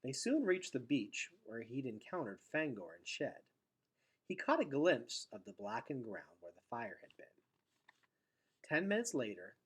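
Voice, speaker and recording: A man reading a storybook aloud expressively, with dramatic delivery that drops into hushed, breathy tones. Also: medium pitch. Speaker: teacher reading aloud to students.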